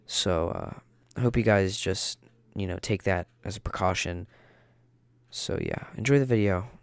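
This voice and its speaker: A man's voice: sits low, a little slow, vocal fry, no room sound, studio quality. Stuffy-nosed young man ranting. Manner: rambling, opinionated, many fillers. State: stuffy nose from a cold. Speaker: YouTuber. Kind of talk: casual ranting commentary.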